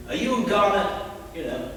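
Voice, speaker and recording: Actor doing a drunk posh party guy on stage, sly and teasing, high lively voice, echoey hall from far off. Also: slight background noise, full character voice. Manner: theatrical, sly, drawling with sudden outbursts. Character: drunk posh upper-class man, nosy and teasing. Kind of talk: stage play performance. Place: theatre stage.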